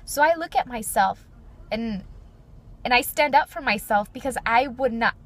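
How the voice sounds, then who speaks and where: Chatty young woman, fairly deep voice. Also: moderate pace. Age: 20s. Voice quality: fairly deep voice for her age. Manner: earnest, chatty, frequent "like" and "you know". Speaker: beauty vlogger. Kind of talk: personal reflective chat to camera.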